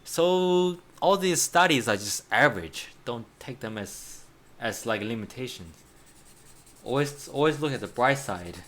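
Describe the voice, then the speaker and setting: A man's voice: medium pitch, animated, unhurried, fairly bright tone. Man, 30s, native Chinese speaker, art teacher, casual chat, restarts a lot, laughs. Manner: rambling, restarts, laughs often. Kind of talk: casual chat while drawing.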